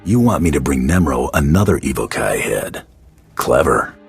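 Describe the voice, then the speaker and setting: A callous mercenary from a sci-fi game, extremely deep and raspy. He speaks in curt, dry threats while music plays behind him. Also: sing-song, normal speed, full character voice. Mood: sly. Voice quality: low, gravelly rasp. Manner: curt, menacing, dryly sarcastic. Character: gruff, callous male bounty hunter. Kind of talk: video game voice acting.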